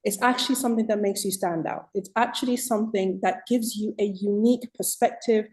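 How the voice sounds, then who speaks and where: A woman with a British accent speaks as an interview guest, her voice mellow and warm at an average pitch. She tells her story reflectively and leans into key points with emphasis. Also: fairly even intonation, brisk, poor audio. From Zimbabwe. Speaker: guest author.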